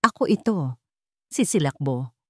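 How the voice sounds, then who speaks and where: A woman's voice: sits low, lively intonation, measured pace, mellow, a little hiss or hum. A woman voicing an intense, commanding billionaire man in an audio love story, speaking emphatically. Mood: remorseful, pleading. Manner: emphatic, accusing, insistent. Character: stern, commanding billionaire man, remorseful and pleading later.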